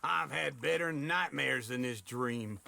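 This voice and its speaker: An actor playing a crotchety, mocking old-timer cowboy in a video game, folksy and crude, with an American accent. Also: male voice, medium pitch, measured pace, gravelly, pushed and bright, full character voice. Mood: scornful. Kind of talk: comic video game dialogue.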